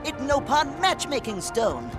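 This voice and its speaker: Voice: high voice, sing-song, unhurried. An actor playing a small, cheerful, gluttonous furry creature in a video game, talking in broken childlike sentences about itself in the third person. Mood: eager. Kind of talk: video game cutscene acting. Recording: music behind the voice.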